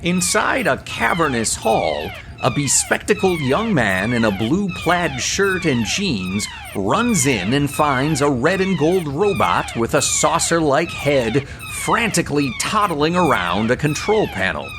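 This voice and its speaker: A man's voice: on the high side, wildly swooping pitch, a little slow, hardly any pauses, background noise. A man delivering scripted narration for an audio drama.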